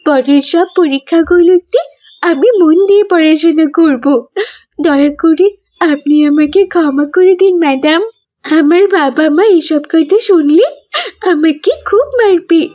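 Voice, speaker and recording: A woman's voice: very high voice, a little slow, a little mellow. A woman voicing a young, scheming schoolgirl in a children's cartoon. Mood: tearful pleading.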